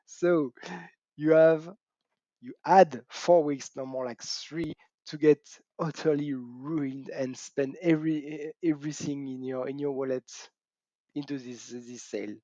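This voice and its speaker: A middle-aged man with a French accent hosts his gaming channel in a chatty, self-mocking way, laughing and whooping between points. His voice is mellow and warm. He's recorded on a dull cheap mic in a dry room. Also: mid-range voice, fairly even intonation, measured pace, no room sound. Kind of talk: casual talk to camera.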